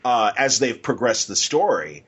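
A man's voice: mid-range voice, big pitch swings, unhurried, fairly bright tone, husky. An American man hosting a casual interview, talking informally and encouraging his guest along. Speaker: podcast host.

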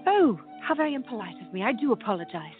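A woman's voice: on the high side, very animated, fast, phone-quality sound, soft background music. A woman playing a gracious Regency lady novelist in a radio play, polite and formally mannered.

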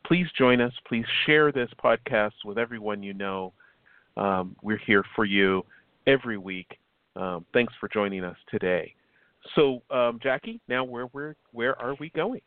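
A male host gives the station break, reading through the weekly program schedule in an announcer's cadence. He speaks at a middle pitch. The sound is dry and narrow, like a phone line with no room around it. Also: unhurried, dry recording. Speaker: radio show host. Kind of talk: host's station break and chat.

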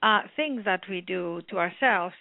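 Greek woman, gravelly voice over phone. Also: medium pitch, no echo. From Greece. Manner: rambling, earnest, with many "uh" pauses. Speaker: author guest. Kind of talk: phone interview. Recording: tinny phone line.

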